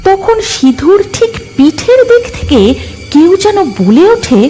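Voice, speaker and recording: A woman's voice: very high-pitched, big pitch swings, a little slow, mellow. A woman narrating a scripted horror story with background music.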